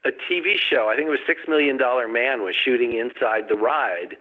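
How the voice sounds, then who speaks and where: A man's voice: mid-range voice, a little fast, narrow, tinny audio. A man who is the guest author, telling a story in a casual chat with dry humor and frequent chuckles.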